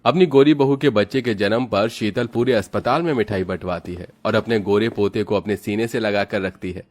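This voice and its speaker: A man narrating an animated moral tale for children in a scripted storytelling style. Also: lowish voice, brisk.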